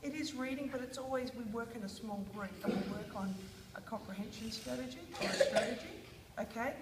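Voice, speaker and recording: A woman's voice: a little high, brisk, echoey room, very noisy. A woman with an Australian accent, teaching a small group of children a reading lesson, prompting them with questions and encouragement. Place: classroom.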